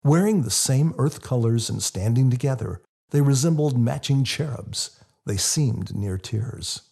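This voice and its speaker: A man reading his own book as scripted audiobook narration, in a husky, gravelly voice. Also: medium pitch, expressive, unhurried, mellow.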